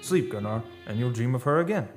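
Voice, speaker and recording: An actor playing a skeptical, cautioning friend steeped in old lore, speaking in folksy phrases in a radio play. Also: male voice, medium pitch, expressive, brisk, lightly acted. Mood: dismissive, soothing. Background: music.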